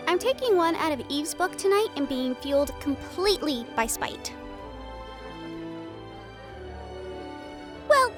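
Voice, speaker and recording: Woman acting high, lilting, affectionate young fiancée. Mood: earnest, defiant. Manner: affectionate, expressive, chatty. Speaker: audio roleplay voice performer. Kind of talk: intimate scripted audio roleplay addressed to the listener.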